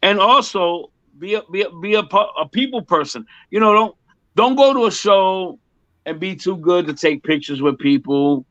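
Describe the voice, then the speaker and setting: A man's voice: sits high, moderate pace, fairly bright tone, no echo. A man in his fifties, a music performer chatting casually in an interview, joking and repeating himself emphatically on muffled lo-fi audio. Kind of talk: casual one-on-one chat.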